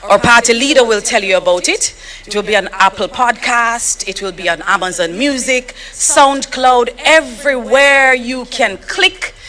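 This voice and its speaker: A woman's voice: fairly high pitch, bright, forceful tone, slight background noise. A woman in her thirties with a Jamaican accent, a politician giving a playful, theatrical speech at a rally.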